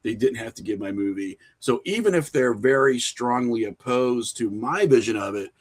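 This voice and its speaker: A man's voice: mid-range voice, animated, normal speed. A man talking informally as an interview guest, telling anecdotes in a self-deprecating, conversational way. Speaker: podcast guest, indie filmmaker.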